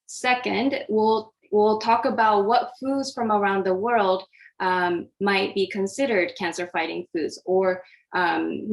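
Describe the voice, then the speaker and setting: A woman's voice: medium pitch, rough recording. A woman from Japan, an oncology dietitian, giving a friendly, interactive online presentation over a video call, with many fillers and restarts. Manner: friendly, hesitant with frequent fillers.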